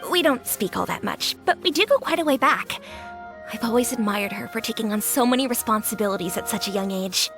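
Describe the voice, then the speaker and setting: A woman's voice: a little high, animated, brisk, fairly bright tone. A woman playing a friendly, candid restaurant keeper in a video game, talking in a chatty, confiding way. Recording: background music.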